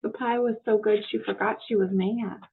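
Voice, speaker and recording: A woman reading a picture book aloud to children, expressive and explanatory, pausing to ask questions. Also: medium pitch, husky. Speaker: children's story reader. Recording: muffled narrow phone-like audio.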